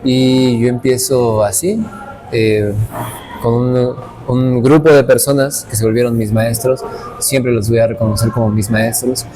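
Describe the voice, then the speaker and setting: Earnest young Mexican dance teacher. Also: male voice, mid-range voice, fairly even intonation, unhurried, dark tone, a little hiss or hum, poor audio. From Veracruz, Mexico. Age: late 20s. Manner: reflective, earnest, with frequent restarts. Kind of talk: casual interview conversation.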